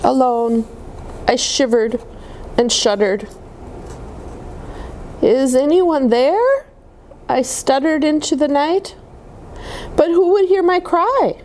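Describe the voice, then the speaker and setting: A woman plays a gentle little storybook tree, wistful and small. The voice is slightly high and very expressive in pitch, moving slowly through short, halting phrases. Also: slight background noise. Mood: frightened, lonely. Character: small, lonely, gentle young tree telling its life story.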